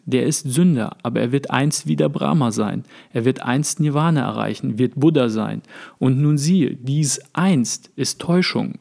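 A calm male sage from a read-aloud novel, voiced by a man in his own gravelly voice. He speaks in measured, contemplative phrases, earnest and steady. His tone stays at an ordinary middle height. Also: medium pitch, close to an everyday voice. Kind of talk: read-aloud literary dialogue.

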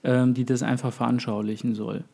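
A man hosting a podcast, a little hoarse from a cold, explaining his ideas at length with many pauses and fillers. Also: mid-range voice, little pitch movement, a little mellow. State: under the weather, voice a bit hoarse. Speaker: podcast host. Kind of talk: casual podcast chat.

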